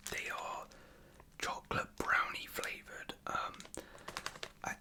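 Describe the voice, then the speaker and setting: A British ASMR host whispering casual, unscripted chat in a hesitant, rambling way. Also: slow. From United Kingdom.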